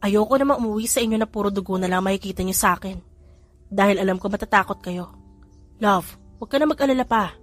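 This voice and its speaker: A woman reader voicing a male police general who explains calmly and teases affectionately, with little change from her own voice. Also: medium pitch, fast, faint music behind, close to an everyday voice. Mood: explaining.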